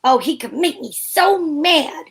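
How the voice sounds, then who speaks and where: A woman's voice: high voice, expressive, a little slow, a bit bright, exaggerated voice. A woman playing a feisty, wry heroine in an old-time radio show, with sassy, theatrical delivery. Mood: wry narration. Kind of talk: hobby old-time radio acting with first-person narration.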